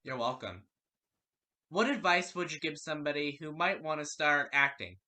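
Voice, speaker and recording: Male interview host. Strikingly bright, forward voice, a touch high. Courteous, formal delivery. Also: moderate pace. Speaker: radio show host and interviewer. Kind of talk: prepared interview questions.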